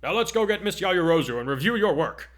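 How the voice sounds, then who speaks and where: Man voicing giant superhero teacher, booming, hearty, kindly, earnest, storybook character. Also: big pitch swings, fairly quick, fairly bright tone. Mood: hearty, reassuring.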